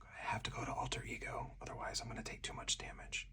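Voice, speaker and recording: A person whispering softly and breathily in ASMR style, narrating a solo card game move by move as the host. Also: quick pace. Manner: hushed, narrating each move aloud step by step.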